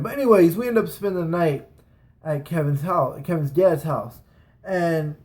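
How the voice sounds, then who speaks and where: A man telling a casual, rambling personal story as a vlogger, slangy and emphatic, with plenty of swearing. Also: on the high side.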